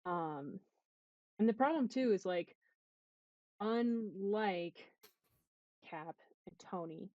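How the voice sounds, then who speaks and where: A woman's voice: medium pitch, animated, extremely slow, long pauses, mellow, dry recording, lo-fi sound. A woman podcast host talking casually, making her points in an analytical, emphatic way over a voice call.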